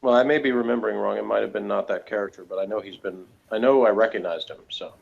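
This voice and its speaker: Slightly dull, lo-fi call audio carries a man with a moderately low voice. He talks casually as a co-host, plain-spoken and insistent when making his point. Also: quick pace, vocal fry. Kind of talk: casual conversation.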